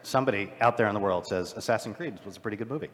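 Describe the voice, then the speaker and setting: A man's voice: fairly low pitch, racing, very noisy. A man talking casually and jokingly as a panelist at a live event, on a stage microphone. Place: large convention panel room. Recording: live convention hall, long reverb.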